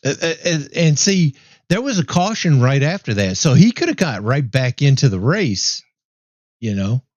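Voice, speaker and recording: A man hosting a race broadcast in a casual chat, easygoing and upbeat, his voice going hoarse. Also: mid-range voice, very animated, moderate pace, a little mellow, no room sound. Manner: easygoing, upbeat, rambling with fillers. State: losing his voice, clearing throat. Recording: dry, dull lo-fi mic.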